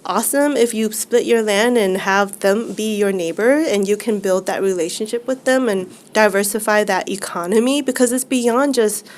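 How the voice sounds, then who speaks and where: A woman's voice: medium pitch, moderate pace, few pauses. A woman, speaking conversationally as an interview guest. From United States, raised by immigrant parents from Laos.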